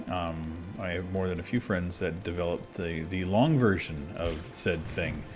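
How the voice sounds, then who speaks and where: A man's voice: low-pitched, measured pace, a little mellow, soft background music. A man talking casually and candidly while playing a video game, rambling with many fillers and restarts. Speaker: gaming video creator. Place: abroad, recording at a computer.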